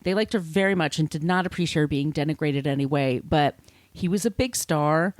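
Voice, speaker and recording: A woman's voice: sits low, a little fast, no echo. A woman hosting a podcast, telling a researched story in a conversational, irreverent way with casual swearing. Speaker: podcast host.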